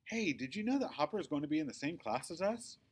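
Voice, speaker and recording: A man voicing an earnest, chatty young schoolgirl in a children's storybook, with an eager, expressive manner. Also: on the high side, fast, slightly rough, pitched above the performer's own voice. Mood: puzzled, eager. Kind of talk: children's storybook read-aloud.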